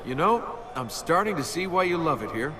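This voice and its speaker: A man's voice: on the high side, very animated, normal speed, noisy background. A man playing a cocky young adventurer and thief in a video game, sarcastic and teasing in conversational banter. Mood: relaxed. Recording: loud music behind the voice.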